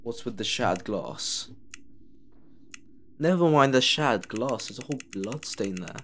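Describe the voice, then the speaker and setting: A man's voice: medium pitch, lively intonation, a little slow, fairly bright tone, a little hiss or hum. A man commenting sarcastically while he plays a horror game. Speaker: gamer playing a horror game. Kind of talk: let's play commentary.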